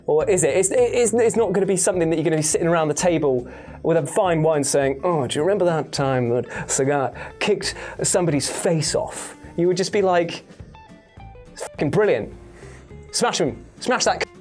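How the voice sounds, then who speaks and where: A presenter in casual conversation, with a mid-pitched voice and music playing behind. The delivery is jokey and loose, full of playful asides and blunt swearing. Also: moderate pace, darkish tone. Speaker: video channel presenter. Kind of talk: casual chat, film opinion. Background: background music.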